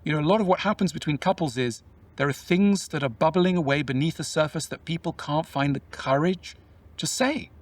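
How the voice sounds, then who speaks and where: A man talking in a measured, explanatory way about relationships, often posing questions to the listener. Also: fairly high pitch, far from the mic. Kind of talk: reflective conversational talk.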